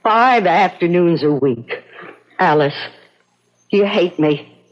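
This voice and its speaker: A woman's voice: lowish voice, lively intonation, slow. An actress playing a sentimental, gushing woman in a 1970s radio drama, with a theatrical, earnest manner. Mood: wistful, confiding. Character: Connie, sentimental, gushing, forgiving lady friend of a ghost's lover. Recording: dull, lo-fi old radio recording.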